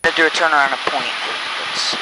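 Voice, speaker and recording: Higher-voiced man on a noisy cockpit intercom. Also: fairly even intonation, quick pace. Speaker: student pilot. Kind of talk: casual chat while flying. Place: small airplane cockpit in flight. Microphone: aviation headset intercom.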